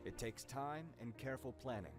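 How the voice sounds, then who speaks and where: An actor playing a grave man in dramatic animated game dialogue about a dark struggle. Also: medium pitch, brisk, lightly acted. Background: clear music.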